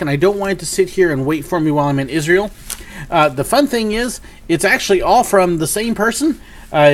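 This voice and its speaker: Man, 40s, sniffly from a cold, chatty and animated, rambling at a home webcam. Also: a little high, slight background noise. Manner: chatty, upbeat, rambling with many fillers. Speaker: YouTube host. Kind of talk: live Q&A and mail call. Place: home office with shelves of collectibles.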